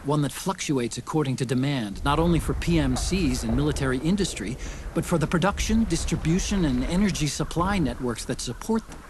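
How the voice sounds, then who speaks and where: An actor playing an earnest, brainy sidekick in a game cutscene, explaining things in an articulate way. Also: male voice, on the high side, lively intonation, brisk, background noise, close to an everyday voice. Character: earnest, brainy male tech-support sidekick. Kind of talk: video game cutscene acting.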